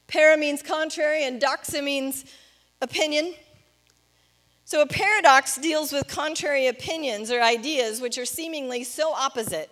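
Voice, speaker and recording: A woman's voice: high voice, a bit bright. A middle-aged woman pastor preaching in a reflective, explanatory way, putting questions to her listeners. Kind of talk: sermon. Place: church stage, indoors.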